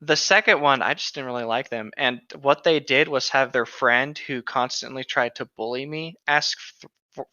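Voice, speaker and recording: Bright-voiced man, dull lo-fi sound. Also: medium pitch, moderate pace, no room sound. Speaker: co-host of a reading podcast. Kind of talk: casual chat with anecdotes.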